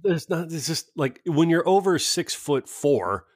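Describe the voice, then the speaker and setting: Animated, blunt joking man. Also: on the high side, unhurried, no room sound, studio quality. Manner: blunt, swears freely, joking, calls people "pal". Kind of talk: casual chat.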